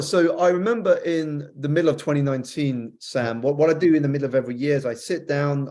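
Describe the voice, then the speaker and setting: A man's voice: mid-range voice, a little mellow. British man telling his story. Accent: British, softened by years with an American spouse. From United Kingdom. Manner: fluent, reflective storytelling with frequent repeated starts. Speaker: author and guest. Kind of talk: podcast interview storytelling.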